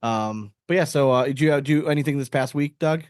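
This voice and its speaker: Male podcast host, American accent, mid-pitched, very brisk buddy-style banter. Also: clean tone, no echo, studio quality. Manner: slangy, buddy-like. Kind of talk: casual chat.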